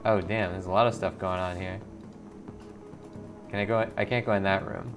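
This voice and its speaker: Gravelly man narrating a game playthrough. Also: low voice, fairly even intonation, normal speed, over music. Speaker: gaming series host.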